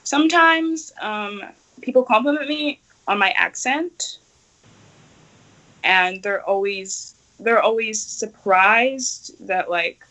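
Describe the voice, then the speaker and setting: A young woman from Nigeria answering interview questions earnestly and thoughtfully, often pausing and restarting her sentences. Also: on the high side, pushed and bright, low-quality recording. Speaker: activist guest.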